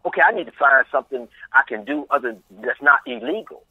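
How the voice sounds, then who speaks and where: Quick-talking Chicago man over a phone line. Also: mid-range voice, expressive, husky, no room sound. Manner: fast, repetitive, frank, laughs easily. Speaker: magazine CEO guest. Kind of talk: casual interview chat.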